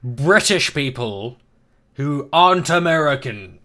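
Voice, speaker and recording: A man's voice: mid-range voice, lively intonation, takes it slowly, sharp tone. A man from New Zealand with a New Zealand accent, ranting in a casual video response, sarcastic, emphatic and freely profane. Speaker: video commentator.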